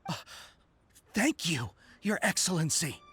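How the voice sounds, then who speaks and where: Game role: zealous, deferential soldier. Husky voice with animated intonation, formal and earnest toward the commander. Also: medium pitch, unhurried, heavily acted. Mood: surprised, obedient. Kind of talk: scripted video game voice acting. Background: background music.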